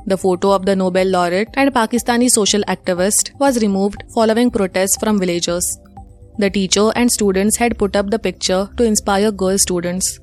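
A woman's voice: medium pitch, fairly even intonation, moderate pace, darkish tone. Indian woman reading news over music. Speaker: news presenter. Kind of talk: scripted news bulletin reading. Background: music under the voice.

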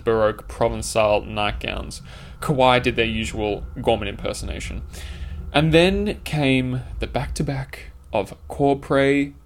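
A man with a mid-range voice narrates an essay he wrote himself. His delivery is scripted and formal, with literary phrasing. He states his opinions plainly, as an author reading his article aloud. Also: mid-range voice.

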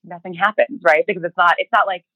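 A woman talking casually as an interview guest, halting and often rephrasing as she tells her story. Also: fairly low pitch, steady tone, sharp tone, dry recording. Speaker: podcast interview guest, writer and creator. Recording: thin, phone-like narrow band.

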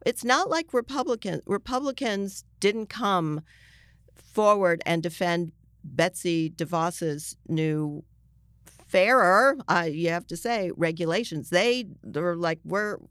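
A woman's voice: on the low side, slow pace, fairly bright tone, no echo, clean studio sound. A woman speaking as a journalist guest in an interview, making her case emphatically and breaking off to rephrase. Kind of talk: argumentative interview discussion.

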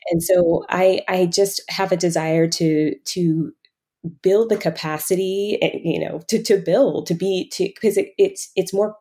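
A woman in casual, reflective conversation, candid and often halting as she corrects herself. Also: on the low side, steady tone, warm tone.

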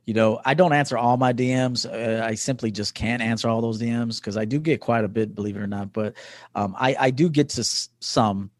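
Male, early forties, interview guest. Conversational coach talk, emphatic delivery, mid-pitched, dry recording. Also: fairly even intonation, brisk, no echo. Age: early 40s. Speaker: podcast guest, men's coach.

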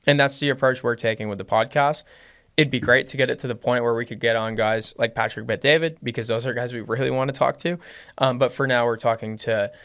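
A man with a slight Lebanese accent, talking casually as a podcast guest. He rambles with many fillers and restarts, and grows livelier and louder when excited. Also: medium pitch, fast talker. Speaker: podcast guest, business owner. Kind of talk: casual conversation.

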